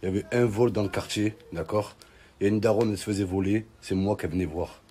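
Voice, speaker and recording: A man's voice: on the low side, steady tone, fast talker, dark tone. A man telling comic stories in casual street slang, in an exclamatory, mock-indignant way. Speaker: online comedian. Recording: clearly noisy background.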